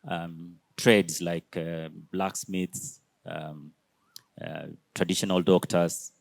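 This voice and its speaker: A very deep-voiced man from Kenya speaking on an education panel. He talks slowly and haltingly, with many fillers between phrases, and his voice sounds dry and close, with no room echo. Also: little pitch movement, creaky. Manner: slow, hesitant, many fillers. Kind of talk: conference panel talk.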